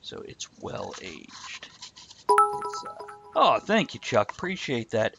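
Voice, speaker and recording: A man's voice: medium pitch, huge pitch swings, slow pace, creaky, music in the background. Jokey man hosting a live stream. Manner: jokey, laughs often, chats to viewers. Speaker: host. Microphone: video call.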